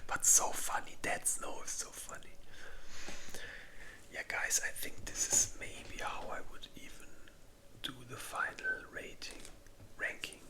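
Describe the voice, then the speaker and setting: An ASMR creator whispering in a breathy, unvoiced voice, chatting informally and thinking aloud with some hesitation. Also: very high voice, level tone, measured pace, low-quality recording. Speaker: ASMR video creator and host. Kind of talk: whispered ASMR, casual rambling chat.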